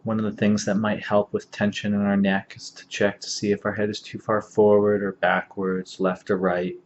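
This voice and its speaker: A man leading a guided meditation as an instructor, speaking calmly and gently with long, unhurried pauses. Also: fairly low pitch. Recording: dull lo-fi audio, faint background noise.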